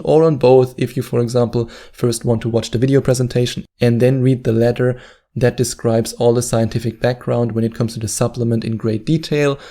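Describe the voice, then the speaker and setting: A man's voice: mid-range voice, warm tone. A man with a German accent, hosting a weight-loss advice video and repeating his key points with earnest emphasis. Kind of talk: direct-to-camera advice talk.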